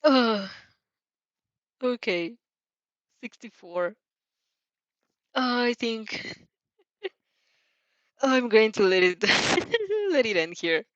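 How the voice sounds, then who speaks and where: A woman chatting casually as a host, reacting to a story in a giggly, excitable, rambling way. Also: a little high, extremely slow, long pauses, no echo, dull audio. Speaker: host reading fan fiction aloud and reacting. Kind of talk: casual reaction stream chat.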